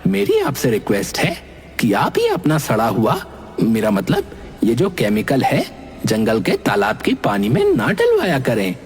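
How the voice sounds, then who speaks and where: A man's voice: medium pitch, huge pitch swings, a little slow. A man voicing a friendly, indignant cartoon ghost with an earnest, dramatic, pleading way of talking. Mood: cheeky. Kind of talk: animated cartoon character acting. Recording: music bed under the voice.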